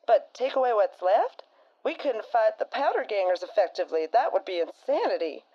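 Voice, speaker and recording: Woman as a folksy, drawling frontier co-host, slightly high voice, muffled lo-fi sound. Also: big pitch swings, a little fast. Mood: blunt folksy. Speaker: radio co-host.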